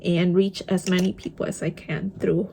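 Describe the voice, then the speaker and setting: A woman's voice: fairly low pitch, little pitch movement, normal speed, creaky, dark tone, a little hiss or hum. A young woman with a Spanish accent, a native Spanish speaker, chatting casually while doing her makeup and dropping in Spanish words. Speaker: vlogger. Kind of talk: casual get-ready-with-me chat.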